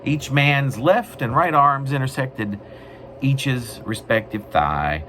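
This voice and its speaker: A man reading his own writing as a poet, with deliberate, emphatic phrasing. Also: mid-range voice, expressive, a bit bright, a little hiss or hum. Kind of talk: dramatic poetry reading with casual spoken introduction.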